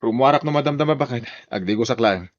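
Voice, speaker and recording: A man's voice: medium pitch, fast, no echo, muffled recording, close to an everyday voice. A man with an Ilocano accent playing a hard-drinking husband who turns belligerent or sheepish in a radio-style drama. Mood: sheepish, apologetic.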